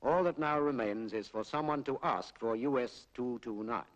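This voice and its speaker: A British man narrating a 1940s public information film in a formal, measured, explanatory way, on a period film soundtrack. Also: medium pitch. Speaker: documentary narrator.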